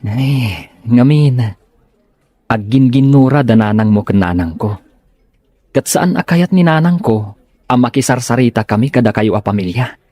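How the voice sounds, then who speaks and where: A man's voice: medium pitch, a little slow, long pauses, mellow, no echo, close to an everyday voice. A man playing a courteous, smitten young suitor, polite and earnest, in a comedy radio drama. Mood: earnest courting.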